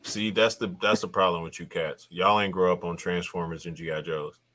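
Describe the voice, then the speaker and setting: A man in his thirties with a very deep, low voice talks in a relaxed, slangy way. He sounds like a podcast host shooting the breeze, dropping "bro" into nearly every thought. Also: a little fast. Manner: laid-back slangy talk, says "bro" often. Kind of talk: casual chat.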